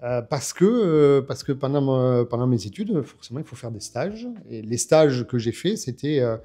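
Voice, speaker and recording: A man's voice: medium pitch, expressive, normal speed, dark tone. A man in his fifties from France, telling his story as a guest in a casual interview, with many hesitations and restarts. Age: 50s.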